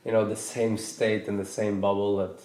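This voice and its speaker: Low-voiced man from Norway, musing. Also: little pitch movement, normal speed, darkish tone, roomy sound. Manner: thoughtful, hesitant with many restarts. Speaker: podcast host. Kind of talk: reflective casual conversation.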